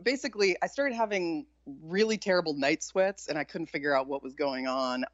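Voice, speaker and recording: A woman with a low voice, a chef and author, talking candidly and without much pep as a guest in a casual interview. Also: a little fast, no room sound. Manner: low-key, not chipper, candid. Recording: dull lo-fi sound.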